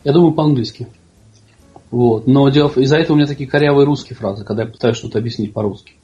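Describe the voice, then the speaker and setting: A man co-hosting a casual panel chat who makes quick, joking remarks. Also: medium pitch, dark tone, dull audio, soft background music. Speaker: co-host.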